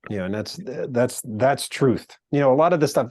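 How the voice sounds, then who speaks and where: A man's voice: mid-range voice, fairly quick, darkish tone. A man co-hosting a podcast who also teaches shooting, talking in a laid-back, matter-of-fact way. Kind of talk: casual podcast chat.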